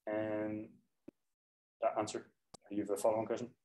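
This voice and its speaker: A man's voice: fairly low pitch, completely flat delivery, moderate pace, dark tone. A young man giving an informal university lecture in a chatty, self-correcting way, heard through a laptop mic on the desk. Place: lecture hall. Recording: echoey lecture hall.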